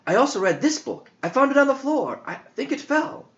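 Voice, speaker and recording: A man's voice: very high voice, sing-song, brisk, pitched above the performer's own voice. A man voicing a naive, curious boy student in an audiobook story, hesitant and full of questions and exclamations. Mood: sheepish. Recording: dull lo-fi audio.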